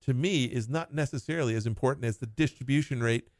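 A man's voice: medium pitch, fast talker, darkish tone, no echo, clean studio sound. A man who talks as a financial advisor, explaining retirement planning in short clauses and laughing now and then. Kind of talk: conversational explanation.

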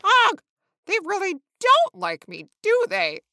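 Woman as an excitable, anxious young male flamingo. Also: a little high, measured pace, slight background noise, heavily acted. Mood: dejected, dramatic. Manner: dramatic, blurting, self-doubting.

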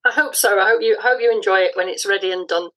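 Mid-pitched woman in an informal interview. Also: fast, low-quality recording. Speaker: interview guest, audio drama writer.

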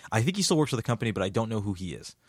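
Low-voiced young man, rambling chat. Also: very animated, rapid-fire, breathy edge, no room sound, clean studio sound. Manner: rambling, many restarts and "like" fillers. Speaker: podcast guest. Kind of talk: casual podcast chat.

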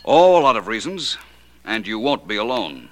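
An actor playing a shrewd, unflappable detective with polished, wry phrasing in 1940s radio drama style. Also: male voice, lowish voice, sing-song, moderate pace, low-quality recording. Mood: smooth menace. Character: suave, shrewd, unflappable male private detective.

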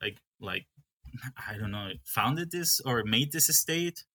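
A man's voice: mid-range voice, expressive, a little slow, pushed and bright. A young man from Peru who manages a coffee farm, talking in a casual interview and often stopping to restart his sentences. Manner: hesitant, with many restarts.

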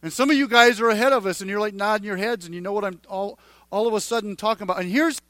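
A man in his forties preaches in a relaxed, conversational way, often circling back and repeating himself as he talks directly to the people in front of him. His voice sits very high for a man. It sounds close and dry, with almost no room around it. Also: dry recording. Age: 40s. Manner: halting, repeats and restarts phrases, speaks straight to the congregation. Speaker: pastor. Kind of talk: conversational sermon.

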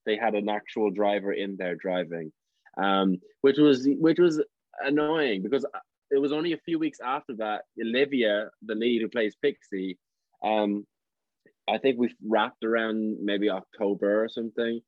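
Chatty young Irish actor on a call. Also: male voice, fairly low pitch, steady tone, normal speed, dry recording. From Ireland. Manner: chatty, restarts sentences, laughs often. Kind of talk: casual interview over video call. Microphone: video call. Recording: dry, dull lo-fi call audio.

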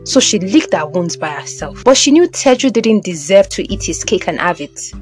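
A woman's voice: mid-range voice, big pitch swings, moderate pace, darkish tone, faint music behind. A woman with a Nigerian accent giving dramatic scripted narration of an audio story.

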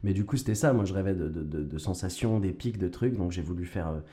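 Low, relaxed young man. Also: fast talker. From Canada. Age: young adult. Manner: relaxed, slangy, stumbling restarts. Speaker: actor and guest. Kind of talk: casual conversation.

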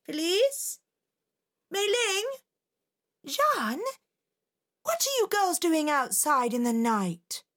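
A woman's voice: very high voice, sing-song, drawn-out words, long pauses, fairly bright tone, pitched above the performer's own voice, exaggerated voice. A woman voicing a mother in a storybook, calling out to her daughters. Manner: calling out, questioning.

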